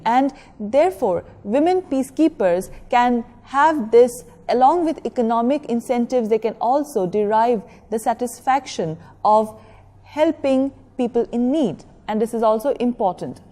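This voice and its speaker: A woman giving an educational lecture in a measured, explanatory way, stressing key words. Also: on the high side, dark tone. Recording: long reverberant echo of a hall.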